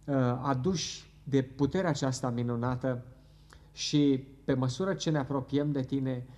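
A middle-aged man leading a closing church prayer at a pulpit microphone, earnest and fervent, pausing now and then. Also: medium pitch, darkish tone. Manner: earnest, fervent, halting at times. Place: church hall with wood paneling.

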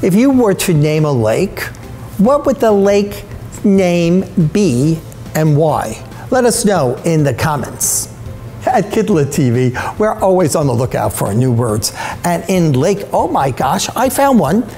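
A man's voice: fairly high pitch, very animated, a little slow, warm tone, soft background music. An older man hosting a children's book interview show. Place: indoor studio set with brick walls, seated at a table.

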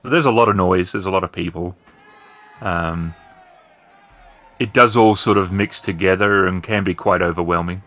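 A man with a low-pitched voice acts as storyteller for a tabletop game. He describes the setting in a halting, improvised flow, adding detail as he goes. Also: a bit bright, a faint crowd.

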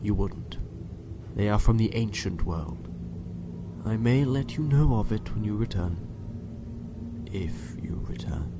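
A man playing a coldly menacing doctor in a dystopian audio drama, measured and condescending, at times hushed. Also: lowish voice, lively intonation, noisy background. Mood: curt, menacing.

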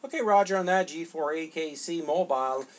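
A middle-aged man from Canada talking as an amateur radio operator in a halting, polite way. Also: on the high side, slight background noise. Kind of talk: unscripted ham radio exchange. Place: small home radio room.

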